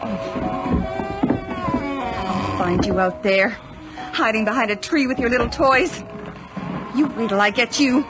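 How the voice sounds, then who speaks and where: A woman's voice: fairly high pitch, unhurried, pauses often, lightly acted, low-quality recording. An actress playing a frightened, freezing, angry woman in a radio horror drama, exclaiming and distraught. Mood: angry, scared. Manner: distraught, exclaiming, talking to herself. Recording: radio drama with loud music behind.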